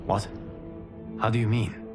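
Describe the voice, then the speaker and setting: An actor playing a noble, burdened god and protector in a video game, speaking in a grave, formal, earnest way. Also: male voice, a little slow, close to an everyday voice. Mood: grave, questioning. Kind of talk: dramatic video game cutscene acting. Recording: loud music behind the voice. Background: music.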